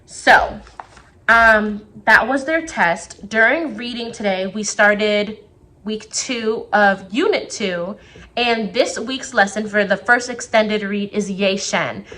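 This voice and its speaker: A young woman teacher talking casually to the camera from her classroom, her voice a little raspy as it recovers, chatty and full of quick asides. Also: medium pitch, bright, forceful tone. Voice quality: slightly raspy. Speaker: second grade teacher.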